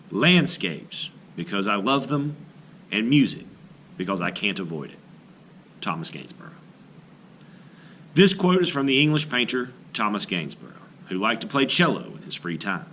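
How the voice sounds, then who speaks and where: Bright, lively male read-aloud teacher. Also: mid-range voice, a little hiss or hum. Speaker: art teacher and host. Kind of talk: read-aloud lesson narration for children.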